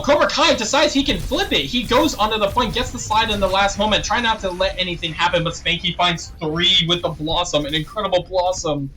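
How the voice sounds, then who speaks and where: A young male esports caster calls the action play-by-play with a bright, slightly high voice. He sounds a little hoarse and clears his throat often. A faint ambient hum sits under the recording. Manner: rapid play-by-play, rising excitement on big plays, frequent throat clearing. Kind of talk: live play-by-play commentary.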